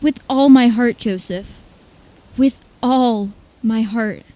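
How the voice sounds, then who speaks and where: A woman's voice: a little high, takes it slowly, dark tone, no room sound. An actress playing a devoted female follower of Jesus in an audio drama, speaking with earnest emotion. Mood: tender conviction.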